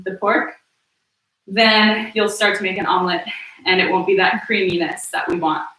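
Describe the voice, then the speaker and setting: A woman's voice: mid-range voice, far from the mic, slight background noise. Chatty woman in an echoey kitchen. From American Midwest. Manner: relaxed, chatty, many fillers. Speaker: host cooking on a livestream. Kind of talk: casual live cooking chat. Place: home kitchen. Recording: echoey room, voice a bit off-mic.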